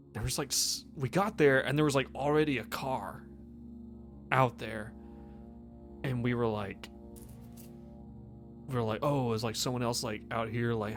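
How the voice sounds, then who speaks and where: With faint music behind, a man acts out a guilt-ridden young man in a tabletop game. He speaks in halting, slangy bursts full of swearing, stammering as if under questioning. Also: mid-range voice, lightly acted. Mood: shaken.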